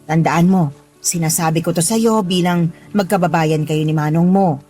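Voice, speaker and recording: An actress playing a kindly married boss in a Filipino radio drama, giving firm, nurturing advice in a Filipino accent. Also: female voice, fairly low pitch, normal speed, warm tone, lightly acted. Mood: earnest, firm. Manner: advising, nurturing, firm reminders. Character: kindly, motherly married female boss teaching street-food vending. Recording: music playing behind the voice.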